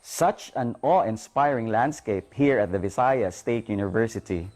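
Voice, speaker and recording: A man's voice: fairly low pitch, moderate pace, a little mellow. A man with a Filipino accent delivering scripted lesson narration as an art instructor. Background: background music.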